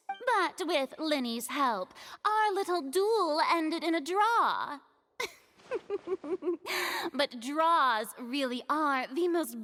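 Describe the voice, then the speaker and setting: Grandiose, high-voiced theatrical young noblewoman. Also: pushed and bright, long reverb tail, full character voice. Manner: grandiose, dramatic delivery. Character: theatrical, boastful young noblewoman who easily gets flustered. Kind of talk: anime-style video game acting.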